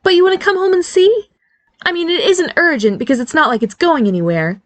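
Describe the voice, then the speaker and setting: A woman's voice: very high-pitched, fairly quick, no echo, pitched above the performer's own voice. A woman voicing a nervous, bubbly fairy godmother mother who keeps reassuring her son. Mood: chirpy nervous.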